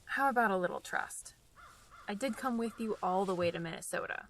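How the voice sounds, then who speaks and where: A woman plays a practical young sidekick in a radio drama. Her mid-pitched voice is matter-of-fact and dry, with a slightly prim edge. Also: brisk, slight background noise, natural voice. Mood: dry, deadpan.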